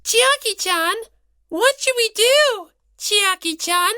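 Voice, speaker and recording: A performer voicing a small, squeaky, anxious peacemaking creature in an audiobook, pleading in a cutesy way. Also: animated, slow pace, sharp tone, no room sound, clean studio sound, exaggerated voice. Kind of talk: dramatic audiobook character dialogue.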